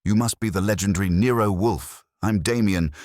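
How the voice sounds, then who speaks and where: A man's voice: lowish voice, normal speed, a little mellow, no echo, clean studio sound, pitched above the performer's own voice, natural voice. A man voicing a vain, showy media personality in an audiobook, self-assured and performative. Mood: smug.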